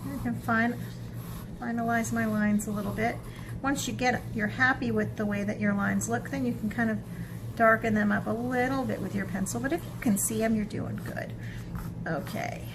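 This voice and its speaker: Higher-voiced woman teaching kids, noisy recording. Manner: encouraging, informal, thinks aloud while drawing. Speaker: children's art teacher. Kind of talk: step-by-step drawing lesson with a storybook read-aloud.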